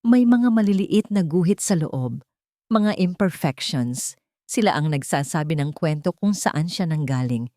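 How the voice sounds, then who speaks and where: A woman's voice: sits low, lively intonation, unhurried, dark tone, dry recording, clean studio sound. A woman with a Filipino accent reading a scripted, dramatic first-person story as an audiobook narrator.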